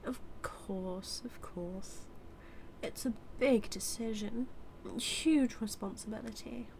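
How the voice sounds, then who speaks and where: Woman to act British villainess for intimate audio drama; unhurried, smug, seductive teasing of a superhero rival, light ambient noise underneath. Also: mid-range voice, a little mellow, poor audio. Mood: smug, flirtatious. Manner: teasing, sly, flirtatious, drawn-out delivery. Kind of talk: intimate audio roleplay monologue.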